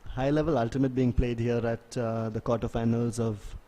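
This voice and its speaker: Mellow man calling a match. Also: mid-range voice, level tone, normal speed. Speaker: sports commentator. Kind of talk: live play-by-play commentary of an ultimate frisbee match.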